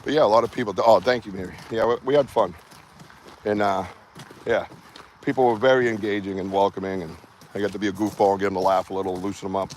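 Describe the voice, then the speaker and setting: A man's voice: lowish voice, fairly even intonation, fast talker, a little mellow. A man with a Boston accent from Massachusetts's South Shore, hosting a live Bigfoot research stream outdoors on his phone, chatty and joking with viewers. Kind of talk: casual live-stream chat. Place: outdoors in rural woods. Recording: outdoor ambient noise.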